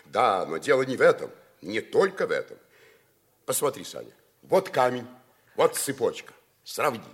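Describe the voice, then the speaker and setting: An earnest, husky Arctic veteran. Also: male voice, medium pitch, sing-song, moderate pace. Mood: intent, excited. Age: middle-aged or older figure. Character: seasoned, earnest Soviet Arctic veteran, weighty and authoritative. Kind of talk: 1950s radio play acting.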